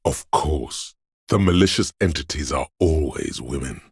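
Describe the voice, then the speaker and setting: A man voicing a paranoid, aggressive inner voice of primal fear in a video game, menacing, urgent and ominous, with a gravelly, husky sound. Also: rumbling low, unhurried, no room sound, studio quality, exaggerated voice. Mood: ominous, fearful. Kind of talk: video game voice acting, second-person dramatic narration.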